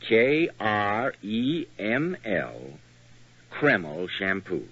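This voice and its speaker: A male announcer with a persuasive, polished pitchman's delivery in the 1940s radio style. His husky voice rises and falls with lively movement. It comes through a dull, lo-fi old broadcast. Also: drawn-out words.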